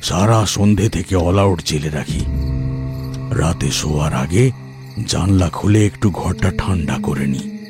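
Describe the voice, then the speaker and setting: Man voicing a low, easygoing older housemate. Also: slow pace, over music. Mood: dismissive. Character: mild, drowsy older male housemate, unhurried and easygoing.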